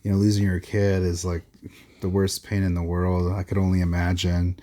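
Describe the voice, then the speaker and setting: A man's voice: low voice, monotone, moderate pace, warm tone. A man co-hosting a podcast, chatting casually with short, agreeable replies. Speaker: podcast co-host. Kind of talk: casual conversation.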